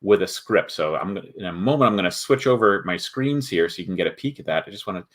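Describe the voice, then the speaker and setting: A man hosting a casual livestream, chatting with viewers in a halting way as he thinks aloud. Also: low-pitched, lively intonation. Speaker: host of a board game company livestream.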